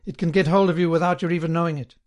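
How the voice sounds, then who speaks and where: A chummy, servile party man, as a male narrator puts him on, pitched on the high side. He chats eagerly and earnestly, sententious and sanctimonious, as a character in an audiobook novel. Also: steady tone, rapid-fire, natural voice. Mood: sanctimonious, confiding.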